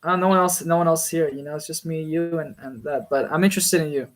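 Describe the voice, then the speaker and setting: A man's voice: fairly high pitch, fast talker. A man in a casual online voice chat, teasing and pushing others with questions. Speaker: voice chat participant.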